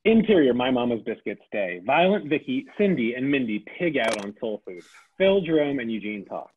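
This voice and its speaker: A man reading the scene and stage directions aloud at a table read, in a plain, brisk way. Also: medium pitch, sing-song, slightly rough. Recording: tinny phone-like sound.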